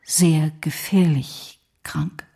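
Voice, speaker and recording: A woman playing a solemn, gentle schoolmaster's wife in a radio play, speaking in a breathy half-whisper. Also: sits low, steady tone, very slow, heavily acted. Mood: grave, hushed. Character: solemn schoolmaster's wife gently breaking grave news to child.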